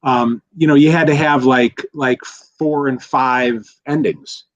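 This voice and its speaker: Brief: man, middle pitch, rambling podcast guest, slightly dull lo-fi sound. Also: steady tone, a little slow. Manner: rambling, many restarts and fillers. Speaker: guest commentator. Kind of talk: casual podcast discussion.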